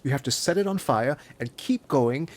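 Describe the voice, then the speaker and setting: An actor playing a brooding, guarded musician with a breathy, husky voice in an introspective audio drama. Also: male voice, medium pitch, expressive, normal speed, close to an everyday voice. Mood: firm, defensive. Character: brooding, guarded male musician leaving his lover.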